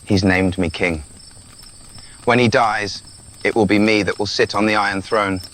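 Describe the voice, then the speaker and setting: Low-voiced rebellious British prince, played by an actor. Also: male voice, steady tone, normal speed, a bit bright, close to an everyday voice. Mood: bitter, despairing. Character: restless, rebellious young prince, pleading and bitter. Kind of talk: scripted audio roleplay addressed to the listener.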